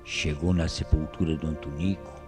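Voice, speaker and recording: An actor playing an old country colonel with a Brazilian accent, spinning an eerie tale with folksy suspense in a radio mystery drama. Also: male voice, rumbling low, flat delivery, normal speed, a little mellow, faint music behind. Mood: confiding, eerie. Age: older.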